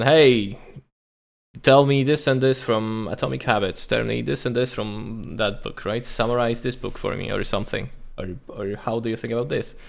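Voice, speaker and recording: A man streaming a reaction to a tech talk, thinking aloud in a casual, halting way with frequent restarts. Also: medium pitch, gravelly. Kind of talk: casual live reaction commentary.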